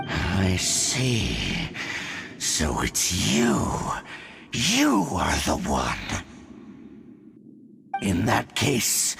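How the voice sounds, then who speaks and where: Gravelly, extremely deep male monster villain. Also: full character voice. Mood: ominous recognition. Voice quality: gravelly, booming. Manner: slow, ominous, haughty. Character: menacing, arrogant male monstrous herald of the Abyss. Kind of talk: dramatic video game voice acting.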